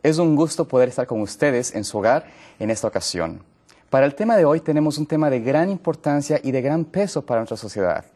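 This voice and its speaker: A man hosts a television health program from a studio. His voice is warm and mellow, his delivery friendly and plain-spoken, with the odd self-correction. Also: mid-range voice, expressive, fairly quick. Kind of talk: TV talk show hosting.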